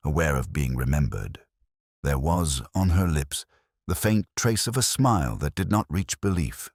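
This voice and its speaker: A man narrating a scripted first-person detective story in a measured, brooding, literary manner. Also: a bass voice, slightly rough, dry recording, studio quality.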